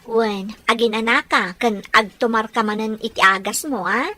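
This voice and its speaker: A woman's voice: fairly high pitch, normal speed, sharp tone. A woman acting a sweet, understanding young girlfriend with an Ilocano accent in a radio drama. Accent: Filipino, Ilocano. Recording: music behind the voice.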